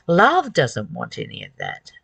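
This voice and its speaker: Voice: medium pitch, huge pitch swings, measured pace, gravelly, fairly bright tone, husky, dry recording. Earnest spiritual reader, close dry sound. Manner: earnest, emphatic, repeats phrases and rhetorical questions. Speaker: host reading and commenting on a spiritual book. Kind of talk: devotional reading aloud with spoken commentary.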